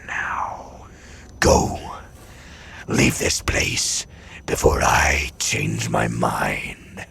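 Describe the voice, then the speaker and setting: A man voicing a sinister eldritch demon in a scary audio story, mock-polite and taunting, with a rasping, whispery growl. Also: bright, forceful tone, heavily acted. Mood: amused, threatening. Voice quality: very deep gravelly rasp, breathy and whispered. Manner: slow, deliberate, mock-polite menace.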